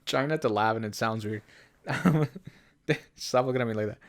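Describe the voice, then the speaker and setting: A man's voice: fairly low pitch, normal speed. A young man from Canada, co-hosting a podcast in rambling, casual chat with frequent laughs. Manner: wry, laughs often, rambling. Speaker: podcast co-host.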